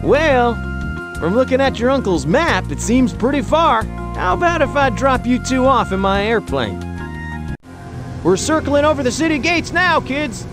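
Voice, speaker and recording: A jovial adult pilot from a cartoon game. He is folksy and encouraging, very high-pitched and animated, over music. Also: a little slow, full character voice. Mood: cheerful. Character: jovial, helpful adult male bush pilot.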